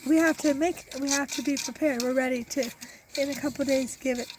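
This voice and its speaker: A woman's voice: sits high, fairly even intonation, brisk, background noise. A woman in her forties who is losing her voice, chatting step by step through a beekeeping recipe out on her back deck, filmed close on a phone. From Iowa, US. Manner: chatty, explains step by step with many restarts. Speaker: homesteader and beekeeper. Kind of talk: casual how-to cooking demonstration. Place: outdoor back deck in a farm yard. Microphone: phone camera held close.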